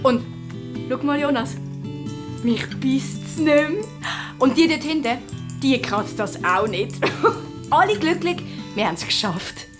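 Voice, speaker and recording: A woman playing a bright, impulsive girl detective with youthful slang in a German children's audio drama. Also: high-pitched, animated, takes it slowly, pauses often, music in the background. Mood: happy, relieved.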